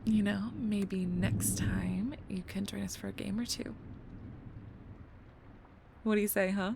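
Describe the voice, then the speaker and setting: Nerdy, playful girlfriend with a creaky voice, acted by a woman. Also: medium pitch, measured pace. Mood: playful, warm. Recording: storm ambience with thunder behind. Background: thunder and storm noise.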